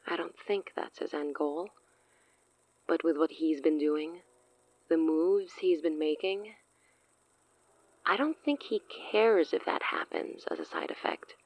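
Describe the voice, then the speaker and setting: An actress playing a grandiose, amused, long-lived sorceress in a horror audio drama, speaking eloquently and theatrically with hushed asides. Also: female voice, low-pitched, slow pace, long pauses, no room sound, muffled recording, full character voice. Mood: amused, wistful.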